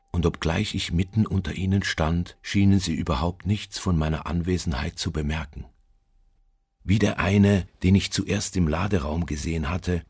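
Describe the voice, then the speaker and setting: An actor playing a haunted, doomed seafarer in a literary radio drama, speaking in a slow, brooding, solemn manner with a husky, gravelly voice. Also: male voice, a little mellow, no room sound, clean studio sound, full character voice. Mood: eerie awe. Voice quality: very deep, gravelly, husky, at times near whisper. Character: haunted male seafarer recounting his doom aboard a ghost ship.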